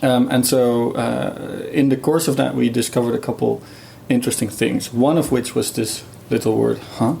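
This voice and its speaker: A man's voice: medium pitch, moderate pace, gravelly, few pauses, mellow, a little hiss or hum. A man whose first language is Dutch, explaining research in a thoughtful conversational way, with many fillers and restarts. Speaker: guest researcher.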